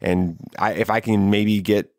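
A man's voice: fairly low pitch, fairly even intonation, measured pace, darkish tone, no echo, studio quality. A man hosting a podcast, talking to listeners in an interview and sign-off style. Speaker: podcast host.

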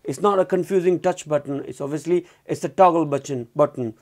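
A man gives a casual product demo in a somewhat high voice. There is a strong creaky fry in it. He speaks informally, with loosely built sentences and repeated phrases. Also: brisk, darkish tone. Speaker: product reviewer and presenter. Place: indoors, small room at a desk.

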